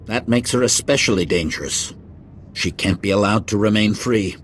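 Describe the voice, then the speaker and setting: A man plays a stern council master in a sci-fi game, distrustful and formal. The pitch sits fairly low, with clear ambient noise behind the insistent delivery. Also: moderate pace, close to an everyday voice. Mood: stern, disapproving. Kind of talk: video game cutscene acting.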